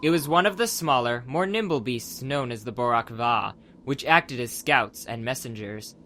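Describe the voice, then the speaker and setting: A man's voice: mid-range voice, moderate pace, sharp tone, slight background noise. A man narrating a dramatized adventure audiobook from a script as the storyteller.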